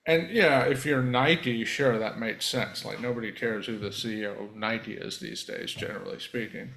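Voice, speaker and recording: A man's voice: medium pitch, moderate pace, gravelly, fairly bright tone. A man hosting a podcast interview, affirming his guest and asking long, rambling questions. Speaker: podcast host. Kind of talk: interview host.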